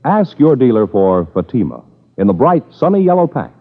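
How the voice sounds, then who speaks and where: A man's voice: mid-range voice, big pitch swings, moderate pace. A man announcing a 1950s radio crime show and its sponsor with punchy, dramatic emphasis. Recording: tinny old radio with loud music.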